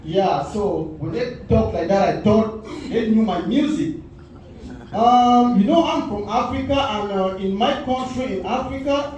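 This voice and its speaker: A man's voice: high voice, slight background noise. A man in his forties from Cameroon with a Cameroonian accent, telling stand-up stories and acting out quoted voices. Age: 40s. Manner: storytelling with lively voiced quotes. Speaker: stand-up comedian. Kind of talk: stand-up comedy set. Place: comedy club stage. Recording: echoey club audio from far off.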